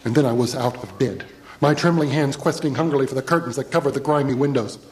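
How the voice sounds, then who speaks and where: An actor playing an anxious, haunted traveller, narrating a horror radio drama in literary, ominous phrases. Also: male voice, medium pitch, noisy background, lightly acted. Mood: urgent terror. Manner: literary, measured, ominous, urgent under stress. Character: anxious, haunted traveller recounting his ordeal.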